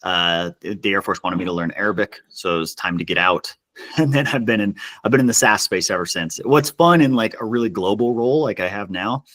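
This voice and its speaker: A man speaking casually as an interview guest, telling stories in an animated, self-deprecating way. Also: lowish voice, a little fast. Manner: self-deprecating, chuckles as he talks. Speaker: podcast guest, customer success executive.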